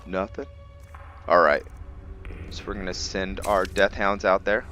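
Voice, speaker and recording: A man giving casual let's-play commentary on a video game, thinking aloud in a halting way and trailing off mid-phrase. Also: deep voice, little pitch movement. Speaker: gaming host narrating a playthrough. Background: game music behind the voice.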